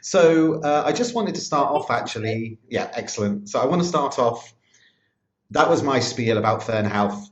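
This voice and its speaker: A man's voice: mid-range voice, animated, moderate pace. A man hosting an interview podcast, chatty and casual, often hedging and using fillers. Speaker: podcast host. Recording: echoey dull-sounding room.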